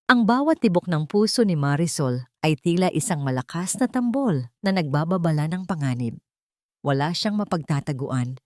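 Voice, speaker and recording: Female narrator, deep register, dry close recording, suspenseful dramatic storytelling. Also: a little mellow, no echo.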